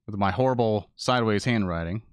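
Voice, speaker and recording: Guy co-host chatting to stream viewers, talks quick, kinda low voice, really casual. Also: clean tone, no echo, studio quality. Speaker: co-host and social media producer of a live stream.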